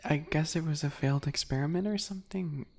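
A man voicing a sweet-spoken, obsessive male bunny predator in an ASMR roleplay, soft, breathy and teasing, often whispering. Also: on the high side. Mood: shy, sweet. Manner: slow, teasing, giggly, menacing.

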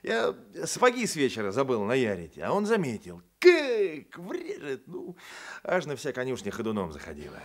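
An actor playing a boastful, sly Cossack constable in a radio play, spinning yarns in rustic colloquial speech. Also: male voice, mid-range voice, huge pitch swings, moderate pace. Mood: boastful storytelling.

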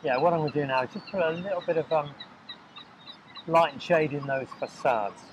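A man demonstrating a watercolour painting outdoors, talking casually and softly with dry joking asides as he works. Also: fairly high pitch, a little fast. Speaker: painting tutor. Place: outdoors on a windy beach. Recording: loud outdoor background noise. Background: seagulls and beach ambience.

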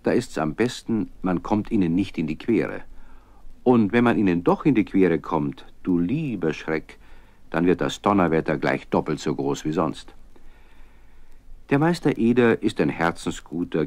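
An actor playing a friendly narrator who tells a scripted story to children. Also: male voice, low voice, lively intonation, moderate pace, a little mellow, no echo. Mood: engaging.

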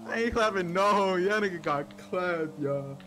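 A man's voice: high voice, unhurried, pushed and bright, slight background noise. A young man talking in character during an online roleplay game, boastful, slangy and full of profanity. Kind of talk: casual in-character street banter during gameplay.

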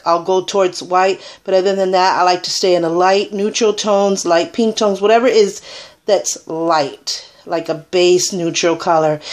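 A Puerto Rican woman in her thirties, chatting her way through a casual makeup tutorial into a webcam in a small room, with plenty of ums. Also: medium pitch. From Puerto Rico. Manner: chatty, rambling, filler-heavy. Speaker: beauty vlogger. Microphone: webcam at arm's length.

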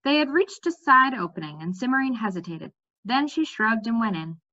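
Young woman reading aloud, lively pitch swings. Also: normal speed. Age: young adult. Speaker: reader. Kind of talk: storybook read-aloud narration with lively dialogue. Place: bright room at home. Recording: slightly dull webcam audio.

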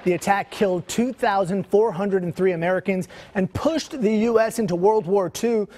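A man's voice: high-pitched, normal speed, darkish tone. A young man reading scripted news narration as a television anchor. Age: young adult. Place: TV news studio.

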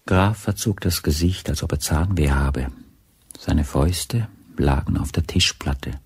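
An actor playing a sober narrator of a radio crime story, measured and matter-of-fact. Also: male voice, very deep voice, breathy edge, exaggerated voice.

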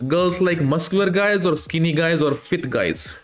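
A man's voice: on the high side, moderate pace. Young Indian man, headset, mock-Russian broken English prank voice, faint music under it. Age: young adult. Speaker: streamer trolling strangers. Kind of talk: put-on Russian accent prank chat. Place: indoor room, video chat.

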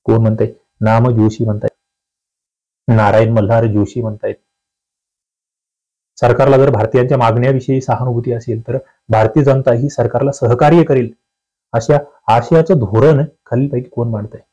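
A man's voice: mid-range voice, steady tone, moderate pace, long pauses, dark tone, dull audio. A man teaching for exams, reading out questions, asking learners and repeating key phrases as he explains. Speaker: exam-prep teacher. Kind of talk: quiz walkthrough lecture, reading questions and explaining answers.

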